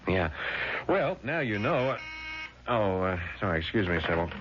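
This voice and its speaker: An actor playing a calm, probing family lawyer in a 1970s radio drama, measured, questioning and matter-of-fact. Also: male voice, fairly low pitch. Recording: dull, noisy vintage radio recording.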